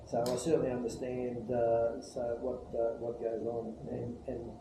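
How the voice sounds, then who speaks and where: A man's voice: medium pitch, completely flat delivery, moderate pace. A man, a visiting pilot giving casual, appreciative feedback. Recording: distant, echoey, noisy room.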